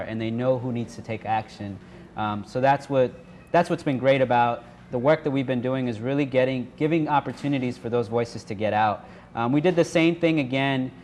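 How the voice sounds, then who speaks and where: Man presenting informally over clear background noise. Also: mid-range voice, darkish tone. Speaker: workshop presenter and activist. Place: indoor workshop room with an audience. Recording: noisy live room recording.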